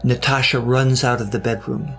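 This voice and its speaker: A man narrating a scripted audio drama with deliberate, suspenseful storytelling and wry touches. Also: mid-range voice, steady tone, creaky, music in the background.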